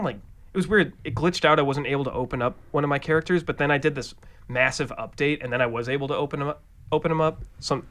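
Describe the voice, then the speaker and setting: A man's voice: a little high, a bit bright. A man co-hosting a podcast, chatting casually and rambling, swearing and restarting his sentences as he goes. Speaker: podcast co-host. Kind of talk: casual chat.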